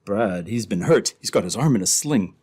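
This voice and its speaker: A somewhat low-pitched henchman from an old-time radio crime adventure, played by a man. He is uneasy and hesitant, a worrier who doubts the scheme. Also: animated, moderate pace, a little mellow, breathy edge. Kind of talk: re-created old-time radio drama acting.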